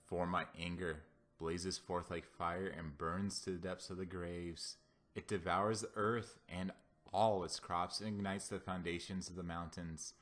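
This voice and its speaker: A man giving a solemn scripture reading as a Bible reader, with deliberate, declamatory phrasing. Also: deep voice, level tone, fairly bright tone. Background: background music.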